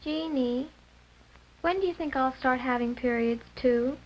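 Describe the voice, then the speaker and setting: A woman's voice: sits high, a little slow, dull audio. A woman playing an eager, innocent American girl of about twelve in a 1950s educational film, speaking in an earnest, exclamatory way. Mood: defensive, curious.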